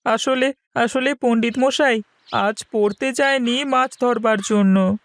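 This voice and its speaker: A woman's voice: on the high side, takes it slowly, no echo. A woman voicing a polite, eager young village boy in a cartoon, with an earnest manner. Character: young village boy, eager, respectful, mud-covered fisher. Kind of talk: cartoon voice acting.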